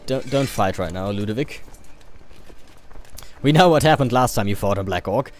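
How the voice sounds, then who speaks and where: Man with a low voice giving offhand gameplay commentary. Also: lively intonation, moderate pace, a little hiss or hum. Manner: offhand and joking, reading game events aloud. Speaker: let's play host.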